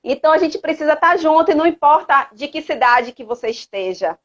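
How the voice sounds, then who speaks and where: A woman from Salvador with a Bahian Brazilian accent hosts a casual live chat. Her voice sits fairly high and she speaks in an earnest, exhortative way, checking in with little tag questions. The livestream audio is dull and lo-fi. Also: expressive, fairly quick, fairly bright tone. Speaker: host of a live chat, activist parent. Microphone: live social media video call.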